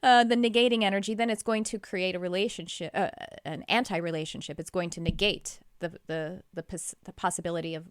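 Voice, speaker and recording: A woman guest on a podcast, talking in an animated way, laughing often and restarting her sentences. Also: fairly low pitch, brisk, few pauses, clean tone, dry recording, studio quality. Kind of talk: casual conversational chat.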